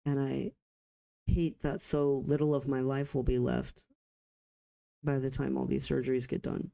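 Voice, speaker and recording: A man around forty speaks in a very mellow, dark voice with plenty of fry. His intonation stays fairly flat. He talks in short, reflective phrases with pauses, as in a personal vlog. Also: mid-range voice. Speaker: vlogger.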